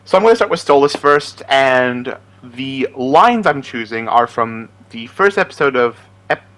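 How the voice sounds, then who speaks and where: A young-at-heart amateur impressionist, this man talks in a chatty, enthusiastic way. His pitch swings freely in a middle register. He sounds casual and giggly as he introduces his voices. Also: lively intonation, measured pace, a bit bright. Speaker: amateur voice impressionist and aspiring voice actor.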